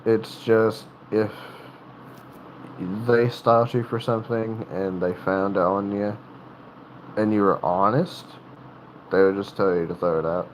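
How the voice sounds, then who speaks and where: A man's voice: fairly low pitch, fairly even intonation, slight background noise, rough recording. A man from North Carolina with a Southern accent, chatting casually on a livestream, rambling and restarting his sentences. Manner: rambling, halting, many restarts. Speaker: livestream host.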